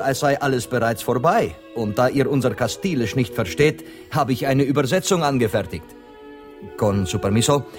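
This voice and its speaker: A courtly, talkative Spanish poet in a radio drama. His phrases are eloquent and declamatory, with lively swings in pitch. Music plays behind him. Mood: ceremonious. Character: talkative, courtly Spanish poet playing guide to foreign envoys. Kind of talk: German radio drama acting.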